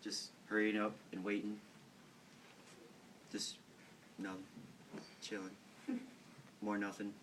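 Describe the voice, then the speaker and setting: A seventeen-year-old boy telling a rambling, halting history story to classmates in a casual way. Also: on the low side, monotone. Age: teens. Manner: rambling, halting, with fillers and repeated words. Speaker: student storyteller. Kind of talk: casual informal talk to classmates. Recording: distant mic, faint background noise.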